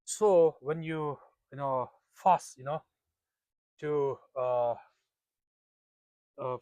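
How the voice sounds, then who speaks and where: A man's voice: medium pitch, drawn-out words, warm tone, clean tone, dry recording. Hesitant male interviewer, dry sound. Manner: hesitant, many fillers, non-native phrasing. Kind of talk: casual interview questions.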